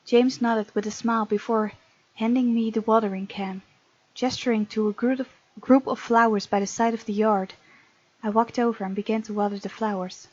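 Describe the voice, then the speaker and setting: A woman's voice: a little high, steady tone, moderate pace, a little mellow, dull audio. A woman hosting a gaming let's play, reading aloud and adding chatty, casual asides. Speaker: gaming video host.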